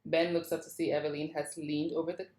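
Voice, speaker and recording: A woman's voice: deep voice, flat delivery, fast, darkish tone. A woman narrator reading screenplay stage directions aloud. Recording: roomy, echoing sound.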